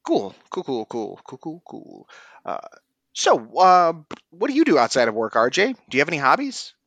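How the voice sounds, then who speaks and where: Sketch role: a man doing a rambling, tactless coworker with a lively, bouncing pitch, dry close sound. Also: normal speed, dry recording, muffled recording, exaggerated voice. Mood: eager. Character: clueless chatty male office coworker. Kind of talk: comedy sketch.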